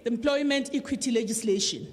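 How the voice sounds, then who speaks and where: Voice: medium pitch, quick pace. A political representative with a South African accent speaking emphatically and combatively in a public debate. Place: large public town hall event. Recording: crowd noise at live event.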